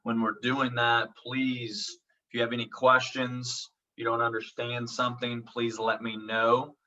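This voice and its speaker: A man's voice: mid-range voice, level tone, measured pace, bright, forceful tone. A man in his thirties with an Oklahoma accent, a college instructor introducing his online course in a reassuring, casual way, full of ums and "okay?" check-ins. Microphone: video call. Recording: dull lo-fi audio from a distance.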